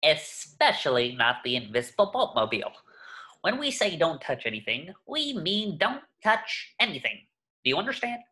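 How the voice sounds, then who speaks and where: A man's voice: a little high, wildly swooping pitch, normal speed, bright, forceful tone, full character voice. A man voicing a stern, cranky elderly superhero sidekick in a cartoon table reading. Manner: stern, emphatic.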